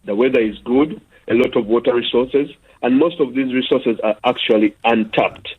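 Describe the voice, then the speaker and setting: Husky Ugandan-born caller on a tinny phone line. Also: male voice, medium pitch, moderate pace. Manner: earnest, argumentative, many fillers. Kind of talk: phone-in call. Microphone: telephone.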